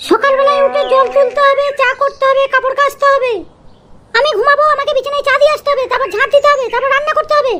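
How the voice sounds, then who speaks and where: A woman playing a bossy, scolding village wife in an amateur comedy cartoon, nagging and commanding through a squeaky pitched-up effect. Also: little pitch movement, fast, loud music behind the voice. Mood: demanding, suspicious.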